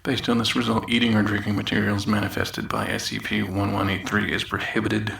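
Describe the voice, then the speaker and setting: A man's voice: fairly low pitch, flat delivery, brisk, vocal fry, fairly bright tone. A man playing a grumbling demoted researcher, reading an official audio log in a dry manner with irritable asides. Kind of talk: scripted in-character document reading. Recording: noisy outdoors, later echoey bathroom.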